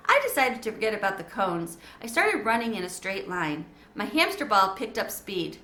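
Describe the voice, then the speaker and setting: A woman reading a children's book aloud in an expressive storybook manner. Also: medium pitch, moderate pace. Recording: echoey room.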